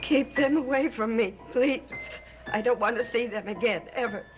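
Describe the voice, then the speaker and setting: Faint music lies behind an actress as a distraught daughter. Her voice is on the high side, emphatic and pleading, with bitter resentment in gothic soap style. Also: normal speed, close to an everyday voice. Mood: distressed, pleading. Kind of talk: gothic soap opera acting.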